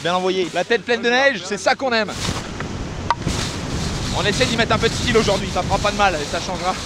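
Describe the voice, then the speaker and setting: A man's voice: high-pitched, brisk. A man joking and exclaiming as he skis outdoors, filmed on a helmet-mounted action camera. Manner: joking, exclaiming, swearing playfully. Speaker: freeride skier filming with friends. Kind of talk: excited casual commentary while skiing. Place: outdoors on snowy ski slopes.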